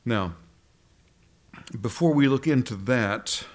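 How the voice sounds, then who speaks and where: A man preaching from scripture at a middle pitch, unhurried and thoughtful. He often stumbles and repeats words as he feels his way toward the next phrase, reading verses aloud between his reflections. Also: animated. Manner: halting, repeats words while searching for phrasing. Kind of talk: sermon.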